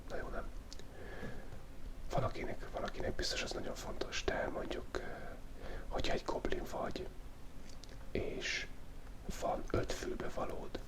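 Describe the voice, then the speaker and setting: An ASMR channel host whispering a playful, rambling commentary while drawing, thinking aloud and often restarting phrases. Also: long pauses. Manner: soft unvoiced whisper throughout.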